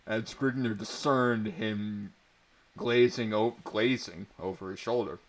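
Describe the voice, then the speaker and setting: Male narrator for pulp fantasy: low register, very unhurried, theatrical and mock-serious. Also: fairly even intonation. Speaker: story reader, narrator.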